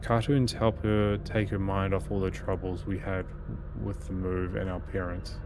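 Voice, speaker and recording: A man's voice: low-pitched, level tone, normal speed, noisy background. A man reading visual novel narration aloud as a let's play host, occasionally stumbling and repeating phrases. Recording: game music behind the voice. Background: horror game music.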